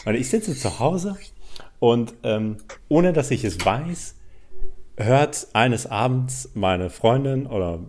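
A man's voice: medium pitch, animated, takes it slowly, darkish tone, slight background noise. Young man ranting casually on a podcast. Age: 20s. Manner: colloquial, sweary, ranting, acts out quotes. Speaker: podcast co-host. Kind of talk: casual podcast banter and storytelling.

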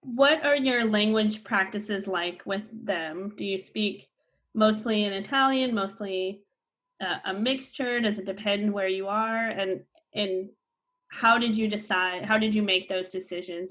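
A woman's voice: mid-range voice, steady tone, unhurried, lo-fi sound. A woman co-hosting a podcast, asking a guest a string of questions. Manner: asks questions in long strings. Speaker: podcast co-host.

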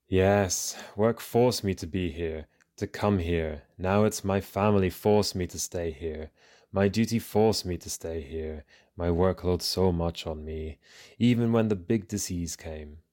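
A man's voice: low voice, a little slow, a little mellow. An actor playing a dutiful, overworked migrant father, speaking plainly and a little wearily in a radio play. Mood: burdened. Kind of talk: radio play monologue and dialogue.

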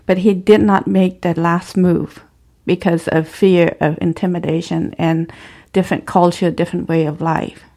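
Low-pitched woman with a Cambodian accent. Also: mellow. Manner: earnest, encouraging, with simple non-native grammar. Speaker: former refugee and speaker. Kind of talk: reflective interview answers.

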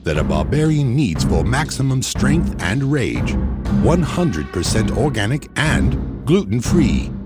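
A man's voice: mid-range voice, very animated, measured pace. A man narrating a comic radio drama in a mock-epic, theatrical storytelling style. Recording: loud music under narration.

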